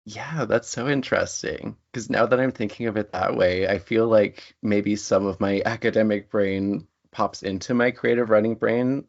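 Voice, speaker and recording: Guy with a lowish gravelly voice, chatty and reflective, kinda dull lo-fi recording. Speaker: interview guest, writer and playwright. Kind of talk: casual panel conversation. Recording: dull lo-fi audio.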